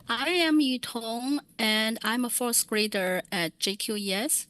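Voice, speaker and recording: Woman, fairly high voice, dry close sound, measured interpreter phrasing. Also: fairly bright tone, no room sound. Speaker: Mandarin interpreter. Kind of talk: consecutive interpreting at a public meeting.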